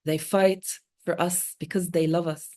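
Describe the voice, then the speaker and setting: A woman in her forties, a native Arabic speaker, talking earnestly in an interview with measured pauses and fillers. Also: lowish voice, little pitch movement, mellow. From born in Oman, Palestinian. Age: 40s. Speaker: guest speaker, multimedia artist. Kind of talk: reflective interview talk.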